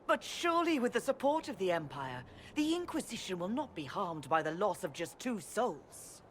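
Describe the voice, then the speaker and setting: A woman's voice: mid-range voice, big pitch swings, normal speed, a little hiss or hum, lightly acted. An actress playing a solemn, insistent senior churchwoman in a fantasy video game, formal and pressing in her address. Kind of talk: video game cutscene acting.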